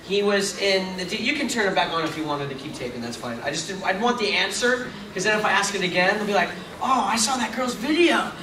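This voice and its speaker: A man's voice: sits high, lively intonation, fast talker, hardly any pauses. A middle-aged man answering fans' questions at a convention panel, chatty and rambling, with self-mocking asides. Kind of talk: casual Q&A with fans. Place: large convention hall. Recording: echoey hall taped from far off, noisy.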